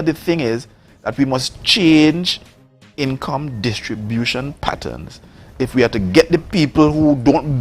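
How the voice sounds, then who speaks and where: A man from Tobago with a Trinidad and Tobago accent, making a forceful political case on a morning talk show and repeating words for emphasis. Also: fairly high pitch. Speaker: politician, guest.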